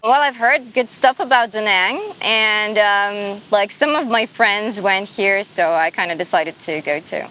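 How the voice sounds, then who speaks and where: A woman's voice: medium pitch, measured pace, hardly any pauses, sharp tone, slight background noise, rough recording. A young woman from Russia chatting in an interview, filling with "like" and "um". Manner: chatty, lots of likes and ums. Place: beach outdoors.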